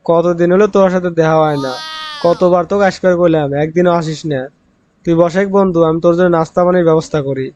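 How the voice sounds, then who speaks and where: A man's voice: fairly high pitch, steady tone, fast talker, darkish tone, slight background noise, close to an everyday voice. A man voicing an eager, henpecked young village friend in a comic cartoon. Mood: surprised, welcoming. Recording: dull lo-fi audio, music underneath.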